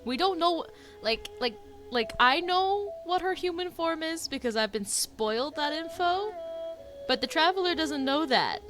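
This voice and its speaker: A woman's voice: very high voice, animated, unhurried, music in the background. A woman streaming a video game, giving casual reaction commentary as the story plays.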